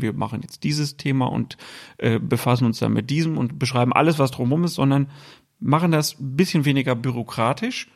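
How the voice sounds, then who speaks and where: A man's voice: mid-range voice, vocal fry. A male podcast host leading a casual chat with a jokey, teasing manner.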